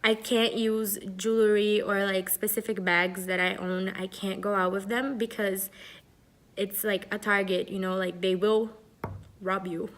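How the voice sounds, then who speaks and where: A young woman from Brazil chats to camera in an easygoing, playful way, with a voice of middling pitch. She talks loosely, with plenty of "like" and "you know". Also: flat delivery, a little slow. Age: young adult. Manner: chatty and playful, laughs often, many "like" fillers. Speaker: student interviewee. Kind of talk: casual chat to camera. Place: Paris.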